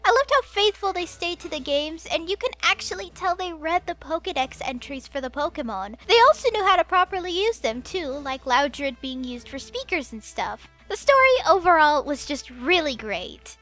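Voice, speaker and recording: High, lively young woman reviewer. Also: moderate pace, hardly any pauses, soft background music. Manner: enthusiastic and expressive, with casual slang. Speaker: movie reviewer. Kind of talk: scripted review voice-over.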